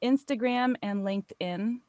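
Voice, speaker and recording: A woman's voice: on the high side, a little slow, a little mellow, no echo. American woman guest, close dry sound. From United States. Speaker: podcast guest, voice actor. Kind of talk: casual interview chat.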